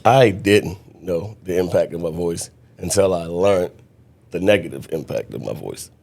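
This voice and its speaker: A man being interviewed as a mentor, talking in an earnest, reflective way with frequent restarts and a naturally loud voice. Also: deep voice, measured pace, creaky. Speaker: guest mentor. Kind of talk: conversational interview.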